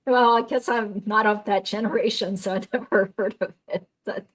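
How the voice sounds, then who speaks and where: A woman's voice: medium pitch, steady tone, normal speed, a bit bright. A woman host, a lawyer, chatting casually in an upbeat way and explaining court matters. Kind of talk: casual chat and legal commentary.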